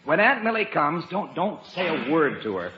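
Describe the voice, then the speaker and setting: An actor playing a mischievous, jocular husband in a 1950s radio comedy. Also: male voice, fairly high pitch, sing-song, moderate pace. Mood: amused, scheming. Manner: jocular, placating. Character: teasing, mischievous American husband. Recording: muffled, echoey old radio, faint music, audience.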